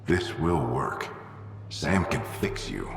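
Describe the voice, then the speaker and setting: A man's voice: a bass voice, big pitch swings, takes it slowly, vocal fry, heavily acted. A man playing a stubborn, driven ex-soldier father in a video game, speaking tersely. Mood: stubborn, insistent. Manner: terse, insistent. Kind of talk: scripted video game drama. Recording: roomy hall echo, faint noise.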